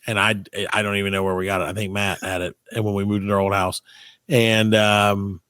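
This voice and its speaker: A man's voice: fairly low pitch, level tone, fairly quick, a bit bright, clean tone, dry recording, clean studio sound. A man co-hosting a comedy podcast, telling casual, joking stories, acting out bits of dialogue and swearing freely. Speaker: podcast co-host. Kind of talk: casual comedic chat and storytelling.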